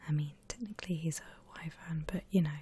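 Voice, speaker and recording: A woman softly whispering an ASMR drawing tutorial in a breathy, gentle, unhurried way, like an instructor. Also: low voice. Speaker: ASMR art channel host.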